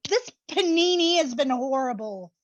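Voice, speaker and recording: A woman's voice: high voice, animated, moderate pace, no echo, highs cut off. A woman playing a gushing, needy and blunt young woman in a comedic play reading, with dramatic, exclamatory slang. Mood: lonely, complaining. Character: Brooklyn, gushing, needy, blunt young married best friend.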